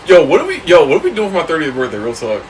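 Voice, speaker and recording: A man's voice: fairly high pitch, huge pitch swings, rapid-fire, distant mic, slight background noise. A man in his late twenties co-hosting a casual podcast, playful and teasing. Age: 20s. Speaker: podcast co-host. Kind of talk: casual chat.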